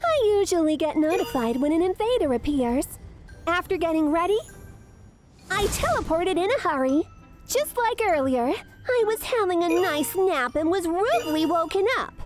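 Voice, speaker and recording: Very high-pitched, haughty anime vampire girl. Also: normal speed, faint music behind. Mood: eager, matter-of-fact. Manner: expressive, cutesy, with gasps. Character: young female vampire dungeon boss, haughty, curious, easily excited. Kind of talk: anime-style manga dub acting.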